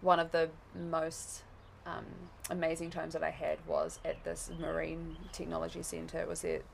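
A woman with a New Zealand accent, chatting casually as an interview guest, rambling with lots of fillers and quick laughs. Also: sits low, monotone, vocal fry, a little hiss or hum.

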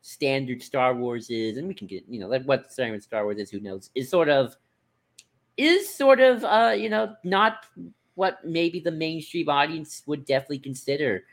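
A man in a casual podcast discussion, talking in a halting, rambling way and often circling back to rephrase. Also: fairly high pitch, lively intonation. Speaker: podcast co-host.

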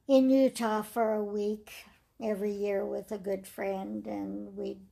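An older woman speaks with a raspy, gravelly voice. She tells her story in a slow, measured way, letting phrases trail off softly. She sounds like she is being interviewed about her life. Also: medium pitch, little pitch movement.

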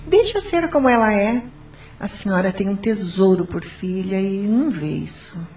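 A woman's voice: medium pitch, lively intonation, normal speed, darkish tone, lightly acted. An actress playing a kindly, frank housemaid in a radio drama, pleading respectfully with her mistress. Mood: gently pleading. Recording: distant from the mic.

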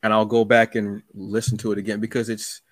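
A man with a slightly low voice hosts a casual interview in a very dry-sounding setting. He talks conversationally, restarting phrases and slipping into little acted-out asides. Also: flat delivery, brisk, dry recording. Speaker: podcast host.

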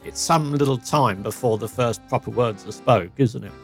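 A man's voice: medium pitch, normal speed, gravelly, soft background music. A man co-hosting a podcast, reflective and agreeable, humming along in casual chat. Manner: reflective, agreeable, frequent hums. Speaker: podcast co-host.

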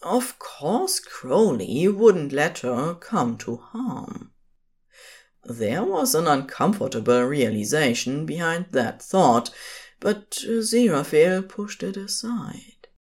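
A woman's voice: sits low, big pitch swings, takes it slowly, husky. A woman reading scripted fiction as the narrator of an audio drama.

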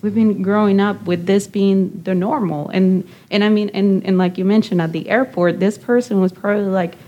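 A woman's voice: medium pitch, steady tone, normal speed, warm tone, highs cut off. A woman from Nicaragua hosting a panel talk, speaking thoughtfully and a bit ramblingly.

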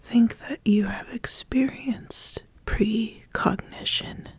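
Woman playing a slow, whispering witch. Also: a little high, slightly rough, dry recording, full character voice. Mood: calm, inquisitive. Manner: soft-spoken, halting, deliberate questioning. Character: ambitious witch calmly sizing up an apprentice. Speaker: ASMR roleplay host. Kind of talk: whispered ASMR roleplay.

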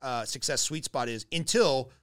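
A man's voice: mid-range voice, moderate pace, a bit bright. A man interviewing in a casual, informal way, with rambling, salty, conversational questions.

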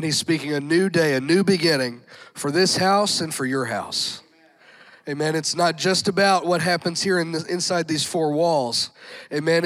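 A man's voice: a little high, a bit bright, low-quality recording. A man preaching a sermon to his congregation, sounding nasal and congested from a cold, punctuating lines with frequent amens and folksy asides. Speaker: pastor. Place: church sanctuary with congregation.

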